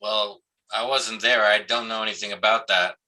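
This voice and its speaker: Man acting a blunt, sharp-voiced cop. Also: fairly low pitch, little pitch movement, moderate pace, poor audio. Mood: defensive. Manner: blunt, profane, evasive. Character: defensive, foul-mouthed male cop and army veteran. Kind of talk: live staged play reading.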